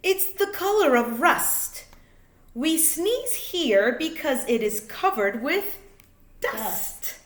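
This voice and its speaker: A woman reading a children's picture book aloud like a teacher, in an expressive, sing-song way with drawn-out words. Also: high-pitched. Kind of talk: read-aloud children's storytelling. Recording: echoey room.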